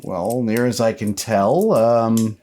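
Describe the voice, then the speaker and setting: A man voicing a dazed, grumbling but reassuring suburban father in a tabletop game, speaking haltingly. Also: on the low side, warm tone. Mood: weary, reassuring. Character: dazed, grumbling suburban dad, gently stern.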